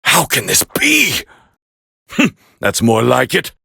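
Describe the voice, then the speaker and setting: A man voicing a proud, scornful alien warrior as a fighting game commentator, gravelly and haughty in an English anime dub style. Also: medium pitch, huge pitch swings, takes it slowly, no room sound, studio quality, full character voice. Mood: shocked, indignant. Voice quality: gravelly, raspy. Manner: haughty, forceful, clipped exclamations.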